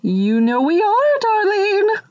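A woman's voice: very high voice, wildly swooping pitch, slow pace, darkish tone, highs cut off, exaggerated voice. A woman playing a prim, disapproving sitcom mother, scolding melodramatically in a comic radio play.

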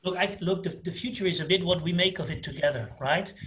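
A man's voice: high voice, quick pace, fairly bright tone. A man speaking emphatically as a panelist on a video call.

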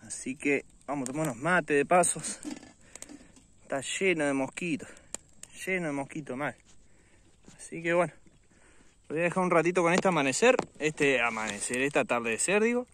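A man's voice: on the high side, animated, normal speed, pauses often, fairly bright tone. A man with an Argentine accent casually narrating an outdoor fishing vlog, chatting informally to his viewers. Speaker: fishing vlogger. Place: outdoors on a riverbank.

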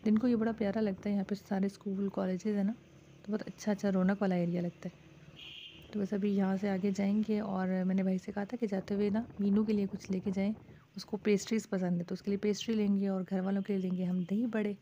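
A woman's voice: medium pitch, flat delivery, fairly quick, dark tone. A woman from Pakistan vlogging in a casual, chatty way, talking to viewers as she shops in a bazaar at night and then at home. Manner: chatty, addresses viewers with frequent "ji". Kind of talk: casual vlog narration. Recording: dull lo-fi phone audio with faint street noise.

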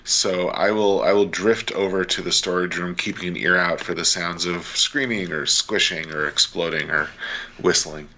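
A man's voice: low voice, steady tone, normal speed, sharp tone. A man speaking casually as a player in a tabletop game. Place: small home room, video call.

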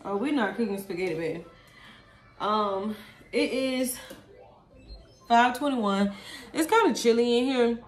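A woman's voice: on the high side, a little slow, long pauses. A young woman chatting casually to viewers about her kids while filming herself on her phone in a home kitchen. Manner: chatty, confiding, talks to viewers as y'all. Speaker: vlogger, mother of five. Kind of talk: casual vlog chat. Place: home kitchen and pantry, indoors. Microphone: phone camera, handheld or propped up.

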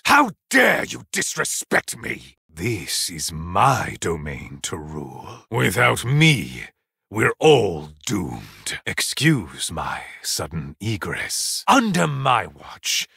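An actor playing an ancient, brooding male vampire lord in a video game, gravelly, haughty and formal, with menace and a vengeful temper. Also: low-pitched, sharp tone, no echo, studio quality, full character voice. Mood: furious, then cold, commanding. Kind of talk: theatrical video game character voice lines.

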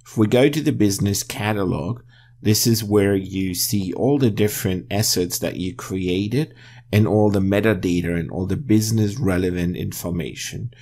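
Low-voiced man narrating a software tutorial. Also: normal speed. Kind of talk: instructional screencast narration.

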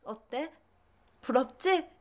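An actress playing a resentful, sharp-tongued city schoolgirl in a children's TV drama, with a sarcastic way of talking. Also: sits high, animated, very slow, heavily acted.

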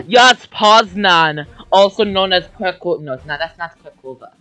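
A young man streaming a war strategy game from a desk at home, yelling at the game and putting on silly voices. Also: high voice, moderate pace, soft background music. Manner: yelling and screeching at the game, silly mock accents. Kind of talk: excitable gaming commentary.

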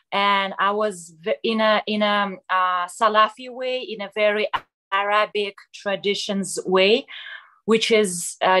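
A young woman from Kazakhstan speaks slowly and haltingly on a video call, pausing often with fillers and restarts. Her voice has a bright, forward tone. She is picked up by a laptop mic in a small room at home. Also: mid-range voice. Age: young adult. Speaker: guest sharing her story. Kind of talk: casual personal story on a video call. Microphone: laptop webcam mic on video call.

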